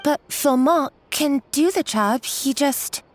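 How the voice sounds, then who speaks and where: An actress voicing a nervous young kitchen worker who stammers and apologizes, in a game cutscene. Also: female voice, high-pitched, takes it slowly, faint music behind. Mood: flustered. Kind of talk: game voice acting.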